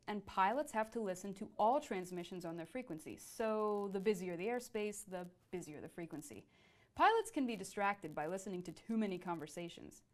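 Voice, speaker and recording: A woman reads scripted educational narration as a presenter. Her pitch is average for a woman, but it moves up and down in a very animated way.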